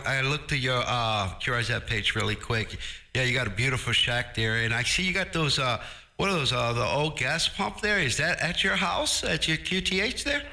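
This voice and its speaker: Very bright, punchy-voiced man chatting. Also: medium pitch. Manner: informal, radio jargon, agreeable. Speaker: amateur radio operator. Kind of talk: casual radio chat. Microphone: close studio dynamic microphone with audio processing.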